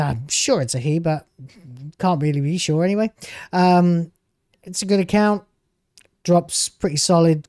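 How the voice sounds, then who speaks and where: A man's voice: fairly high pitch, a little mellow, no echo, clean studio sound. A man in his thirties streaming from home on a headset mic, reacting to video clips in casual, argumentative commentary. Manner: argumentative, talks back at the clips.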